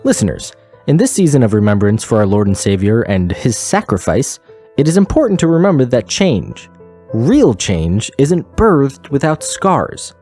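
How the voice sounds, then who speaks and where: A man playing a smug, preachy radio host in a scripted satirical show, pompous and sardonic with dramatic pauses. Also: medium pitch, very animated, darkish tone, soft background music, close to an everyday voice.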